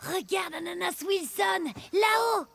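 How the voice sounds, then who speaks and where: A woman voicing an eager young adventurer in an animated cartoon, chatty and exclamatory. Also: very high voice, slow pace, vocal fry, full character voice. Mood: earnest. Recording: music under the voice.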